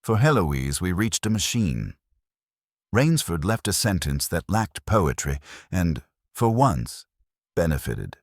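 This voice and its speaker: A man's voice: low-pitched, very animated, slow pace, dry recording, studio quality. A man narrating a first-person detective mystery story from a script. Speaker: audiobook narrator.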